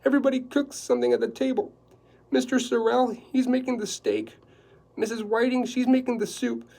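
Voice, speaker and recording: A man reading aloud and voicing a nervous, tearful woman housekeeper who talks in a high, fretful whimper. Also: expressive, brisk, creaky, mellow, heavily acted. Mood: anxious, fretful.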